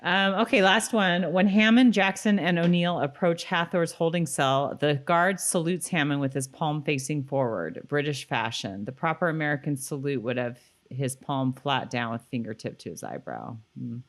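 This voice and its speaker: A woman's voice: deep voice, normal speed, few pauses. A woman co-hosting a podcast in informal, agreeable, casual chat. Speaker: podcast co-host. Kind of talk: casual chat reviewing a TV episode.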